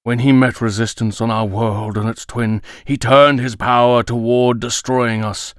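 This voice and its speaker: Slow, solemn old alien storyteller, played by a man. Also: medium pitch, fairly even intonation, clear, resonant tone, dry recording, studio quality, natural voice. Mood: gentle, solemn. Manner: grave, measured, with dramatic pauses. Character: solemn old alien storyteller telling children grim legends. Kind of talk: solemn storytelling narration in a comic dub.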